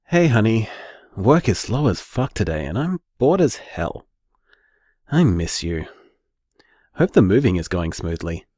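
An actor playing a loving, frank and wry young Australian boyfriend in an audio drama told through voicemails. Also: male voice, fairly low pitch, big pitch swings, a little slow, long pauses, a little mellow, no echo, clean studio sound. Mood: bored, affectionate.

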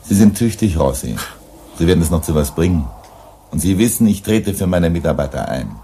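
An actor in a German sci-fi radio play, playing a blunt, hard-nosed mining boss with a gruff, dismissive manner. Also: male voice, deep voice, animated, a little fast, warm tone, a little hiss or hum. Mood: insistent. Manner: gruff, dismissive, self-assured.

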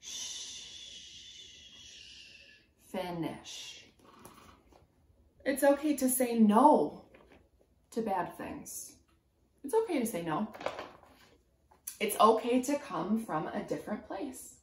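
A woman in her twenties with a mid-pitched voice leads a children's storytime. She speaks like a preschool teacher, in simple phrases with room for young listeners to follow. The recording has the echo of an indoor room, picked up a little way from the camera. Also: sing-song, slow, long pauses, darkish tone, a little hiss or hum. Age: 20s. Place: small room at home, indoors.

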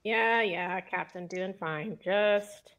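A woman's voice: medium pitch, slow, a bit bright. A woman improvising as a resigned, grumbling crew member in a tabletop roleplay. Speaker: player as ship's crew member. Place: indoors, group video call.

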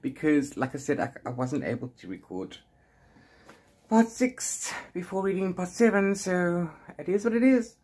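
A man's voice: high-pitched, moderate pace, breathy edge. Rambling middle-aged man chatting about books. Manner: rambling, animated, exasperated asides. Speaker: book reviewer vlogger. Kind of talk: casual unscripted book chat to camera. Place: small room at home with bookshelves.

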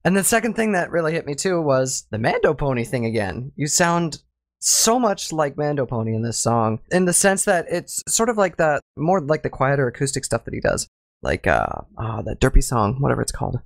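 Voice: medium pitch, very animated, fairly quick, no room sound. A podcast host who rambles loosely, laughs a lot and keeps the conversation going. The voice is very dry and close, with no room sound at all. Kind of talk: casual podcast chat.

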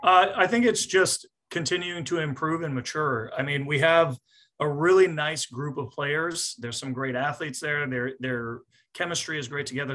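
A man in his forties, a coach explaining things in a measured way at a post-match press conference, speaking on a video call. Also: fairly high pitch, bright, forceful tone. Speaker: volleyball coach. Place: small indoor office, on a video call.

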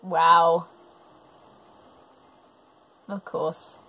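A woman's voice: on the low side, level tone, drawn-out words, lo-fi sound. A woman giving casual live reaction commentary, gushing over cute moments. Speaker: commentator reacting to an animated series. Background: episode audio and music.

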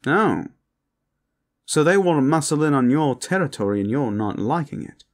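A man's voice: a little high, expressive, normal speed, voice pushed up, close to an everyday voice. A man voicing a posh, cheeky, lewd and vain vampire with a flamboyant, pompous manner in an audio roleplay. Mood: eager, mischievous.

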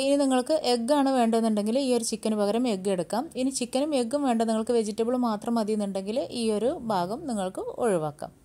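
A woman hosting a home cooking channel, giving brisk step-by-step recipe instructions in a voice-over. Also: on the high side, dark tone. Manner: brisk, step-by-step instructing, Malayalam mixed with English words.

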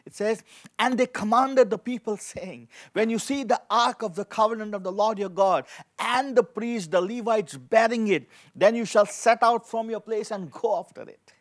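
High-pitched man preaching emphatically. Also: normal speed. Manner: emphatic, repeats key phrases. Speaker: pastor preaching. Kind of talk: sermon with scripture reading. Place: indoor studio with dark backdrop, at a lectern. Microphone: handheld microphone.

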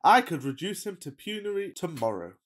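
Florid, amorous Victorian gentleman played by a woman, lowish pitch, very animated, radio farce. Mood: haughty reasoning. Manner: florid, mock-solemn, deliberate declaiming. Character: pompous amorous wealthy bachelor gentleman of thirty-two. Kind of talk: theatrical radio-play farce acting.

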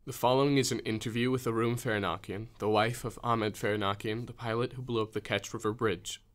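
An actor playing a shaken war veteran who interviews survivors in a radio drama. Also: male voice, mid-range voice, steady tone, fairly quick, close to an everyday voice. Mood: sober. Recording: audible background noise.

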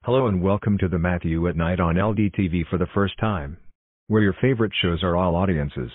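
A man's voice: low voice, fairly quick, phone-quality sound. A man reading scripted television announcements as a channel announcer and newsreader.

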